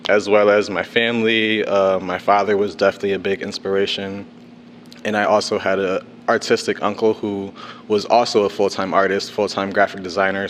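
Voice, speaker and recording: A man's voice: on the low side, fairly bright tone, a little hiss or hum. Young man speaking in an echoing hall. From Connecticut. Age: young adult. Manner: informal, with fillers and restarts. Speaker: panel speaker, artist. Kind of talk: telling his life story on a panel. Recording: long hall reverb.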